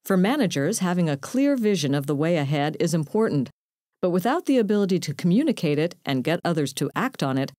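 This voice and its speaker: A woman reporter reading a scripted news voice-over in a measured, even way. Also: lowish voice, dry recording, clean studio sound.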